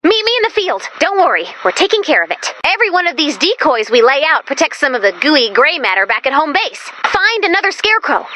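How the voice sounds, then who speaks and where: A woman voicing a perky, quirky young female robot sidekick in a video game, chatty and expressive with an anxious, earnest streak. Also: high voice, heavily acted. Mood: determined, chirpy. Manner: expressive, quippy, nervously chatty. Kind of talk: video game character voice lines.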